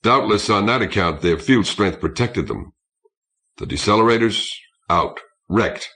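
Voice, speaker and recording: Man reading as a proud, deep-voiced starship captain. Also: animated, unhurried, sharp tone, full character voice. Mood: tired, resigned. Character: proud, contemptuous Russian starship captain, desperate underneath. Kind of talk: audiobook character acting.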